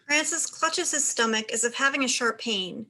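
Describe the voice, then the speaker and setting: A woman's voice: on the high side, normal speed, sharp tone. A woman reading stage directions as scripted narration for a play reading.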